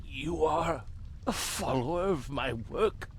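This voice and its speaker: An actor playing a grave male doomsday prophet in a video game, theatrical and halting, with breathy speech that drops into whispers. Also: on the high side, animated, a little hiss or hum. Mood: wary, halting. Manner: theatrical, halting, cryptic, formal. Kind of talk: dramatic video game voice acting.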